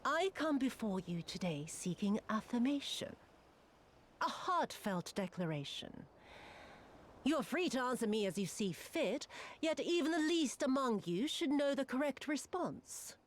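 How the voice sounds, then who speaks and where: A woman's voice: high-pitched, very animated, measured pace, a little hiss or hum. An actress playing a cruel, haughty female imperial viceroy who drawls with mocking, theatrical disdain. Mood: scornful.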